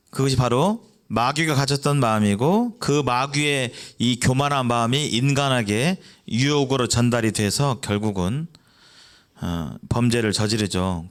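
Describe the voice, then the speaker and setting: A man's voice: on the high side, fairly bright tone. A man preaching a sermon in a church, explaining in a conversational way and asking the congregation rhetorical questions.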